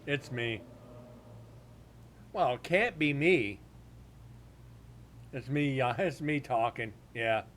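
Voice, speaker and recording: A man's voice: medium pitch, lively intonation, slow, long pauses, a bit bright, a little hiss or hum. A man reviewing paranormal evidence on a livestream, chatting casually in a blunt, joking way.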